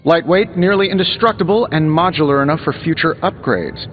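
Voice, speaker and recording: Guy acting a cocky sarcastic armored hero inventor, game dialogue, kinda dull audio w loud music under. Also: on the high side, lightly acted. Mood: playful, sarcastic. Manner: glib, sarcastic, quick-witted. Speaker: video game voice actor.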